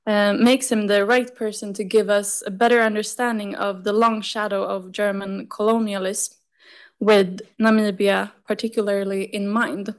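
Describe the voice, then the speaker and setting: A young woman introducing the evening's speaker at a lectern microphone in a university lecture hall, with a steady, prepared delivery. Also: mid-range voice, moderate pace. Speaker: event host introducing a speaker. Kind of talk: formal introduction.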